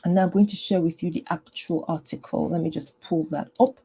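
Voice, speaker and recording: A woman doctor hosting a health live stream from home, chatting in a meandering way with lots of fillers and restarts, her voice kept low and soft. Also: steady tone, a little fast, breathy edge. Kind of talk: casual live stream talk. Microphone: personal mic.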